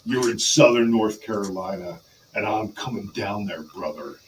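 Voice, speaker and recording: A man playing a big, menacing pro wrestler who delivers a dramatic, halting challenge promo. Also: lowish voice, distant mic, a little hiss or hum, natural voice, rough recording. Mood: menacing, challenging. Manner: dramatic, halting, calls opponent brother.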